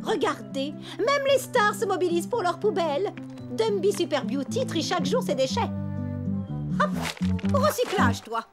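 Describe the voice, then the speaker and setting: A woman's voice: very high-pitched, very animated, normal speed, over loud music. A woman playing a vain, fussy, theatrical cartoon mother with a pompous, dramatic way of talking. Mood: proud, excited. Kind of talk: French cartoon dubbing.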